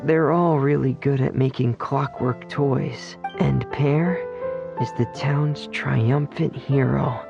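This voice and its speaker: A shy, earnest teenage boy for a video game. He speaks slowly and hesitantly in a soft, gentle voice with a creaky, fry-laden edge. There is a melancholy, apologetic air to him. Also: medium pitch, steady tone, highs cut off, over music. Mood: shy, wistful.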